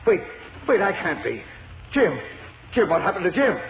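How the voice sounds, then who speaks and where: Flustered, frightened older newspaperman, high-pitched. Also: big pitch swings, moderate pace, music in the background. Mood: panicky. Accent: American. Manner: stammering, agitated. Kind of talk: 1940s radio acting. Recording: roomy, muffled old radio recording.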